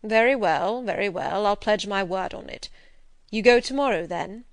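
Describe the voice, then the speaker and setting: A woman voicing a gruff, wry and domineering gentleman in a dramatised reading of a classic novel, speaking emphatically and teasingly. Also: mid-range voice, normal speed, dry recording, natural voice. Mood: coaxing. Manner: emphatic, theatrical, commanding.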